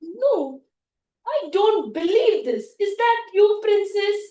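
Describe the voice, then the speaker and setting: Woman acting a haughty, high-voiced storybook prince. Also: lively intonation, takes it slowly, lo-fi sound, exaggerated voice. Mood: disbelieving. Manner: indignant, disdainful, emphatic. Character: haughty, fussy storybook prince, a young man.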